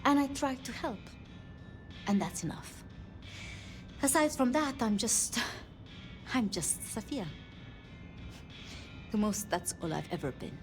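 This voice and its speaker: Hesitant, expressive young-apprentice vampire woman. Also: on the high side. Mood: self-effacing. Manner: hesitant, self-deprecating, halting. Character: Safia, modest, unsure vampire apprentice woman. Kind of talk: video game dialogue acting. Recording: music behind the voice.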